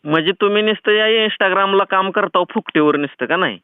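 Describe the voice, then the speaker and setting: Male prank caller, rural Maharashtrian accent, high-pitched, chatty and pushy, on a narrow phone line. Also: moderate pace, smooth, dry recording. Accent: rural Maharashtrian Marathi. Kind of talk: casual teasing phone chat. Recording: tinny, phone-like band-limited sound.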